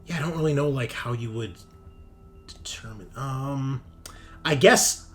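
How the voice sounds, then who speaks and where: A man's voice: mid-range voice, unhurried, soft background music. A man running a tabletop roleplaying game as game master, improvising narration in a casual, joking way.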